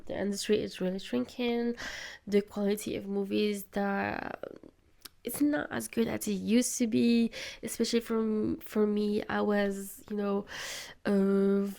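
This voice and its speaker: A woman's voice: medium pitch, vocal fry, a little mellow. A young woman who is sick, hosting a solo podcast and giving her opinions in an earnest way. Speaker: podcast host.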